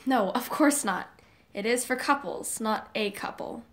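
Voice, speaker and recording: A woman playing an upbeat, persuasive high school girl in a casual audio drama. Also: measured pace, a bit bright. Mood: eager, coaxing.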